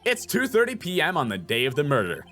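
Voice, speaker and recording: A man's voice: a little high, huge pitch swings, fast talker, bright, forceful tone, soft background music, full character voice. A man voicing an earnest, insistent young defense lawyer in a video game, with an emphatic delivery. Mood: accusing, emphatic. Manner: emphatic, declaratory.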